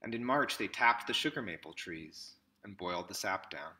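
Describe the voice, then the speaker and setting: A man in his thirties with a bright, forward voice, pitched a bit low. He reads a children's picture book aloud, setting out short phrases one by one with pauses between them. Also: animated. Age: 30s. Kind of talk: read-aloud storybook narration. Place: small indoor room with classroom wall displays.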